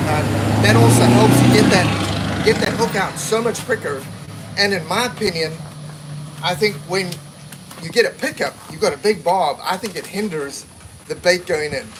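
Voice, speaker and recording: A man's voice: sits high, expressive, moderate pace, heavy background noise. Plainspoken man giving fishing tips. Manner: plainspoken, practical, repeats himself while explaining. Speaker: seminar presenter. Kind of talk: casual instructional talk.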